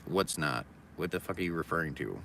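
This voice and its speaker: A man's voice: rumbling low, lively intonation, a little fast, creaky. A man talking casually to his viewers in a rambling, sarcastic way, reacting to comments. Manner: rambling, sarcastic, reading chat comments aloud. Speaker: vlogger. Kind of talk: casual monologue. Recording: distant mic with loud background noise.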